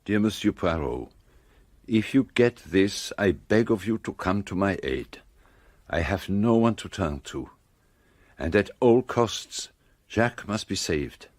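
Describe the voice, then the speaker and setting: A shrewd courteous detective, french accent, lowish voice, theatrical radio drama. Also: male voice, animated, measured pace, no echo, studio quality. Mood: grave. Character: shrewd, composed Belgian male detective, wryly courteous.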